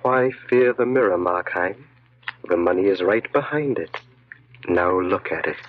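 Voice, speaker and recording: A man's voice: low-pitched, animated, unhurried. An actor playing a commanding, mocking evil genius that speaks within a man's mind, in 1940s radio horror style. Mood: insinuating. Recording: muffled old radio recording.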